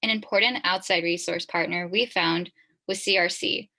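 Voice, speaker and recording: Bright, creaky young American woman. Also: lowish voice, fairly even intonation, normal speed. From East Los Angeles, United States. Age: 20s. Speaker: university student presenter. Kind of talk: scripted presentation.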